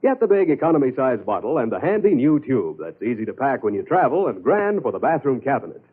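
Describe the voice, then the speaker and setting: A man's voice: mid-range voice, sing-song, fast, breathy edge, phone-quality sound. An American man announcing in a polished 1940s radio advertising style. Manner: polished sales delivery. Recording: old band-limited radio broadcast, music behind.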